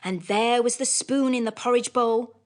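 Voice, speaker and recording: A woman narrating a children's audiobook as scripted storytelling, in an expressive manner. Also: on the high side, normal speed.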